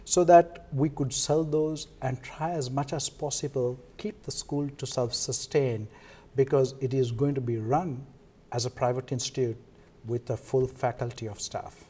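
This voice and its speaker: A man with a Sri Lankan accent answers as an interview guest. His tone is soft-edged and mellow at a middle pitch, and he pauses mid-sentence as he explains. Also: steady tone, slightly rough. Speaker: interview guest, foundation co-founder. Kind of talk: televised interview, explanatory talk.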